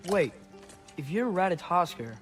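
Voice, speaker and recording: A man's voice: high voice, very animated, slow, faint music behind, exaggerated voice. An actor playing an eager, curious, slightly awkward teenage boy in a video game. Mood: eager, puzzled.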